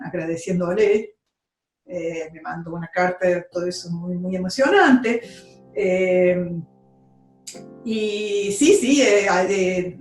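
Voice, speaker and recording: A woman's voice: mid-range voice, roomy sound. A woman, giving a hesitant, reflective testimony with many fillers, as a guest on a remote call. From Argentina. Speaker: interview guest. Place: remote call from home abroad.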